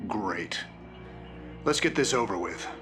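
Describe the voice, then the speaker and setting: Sardonic rebel soldier over music. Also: male voice, fairly low pitch, animated, slow pace. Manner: sarcastic, informal. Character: sardonic, hot-tempered rebel soldier, rough-and-ready frontier man. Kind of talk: dramatic video game cutscene acting.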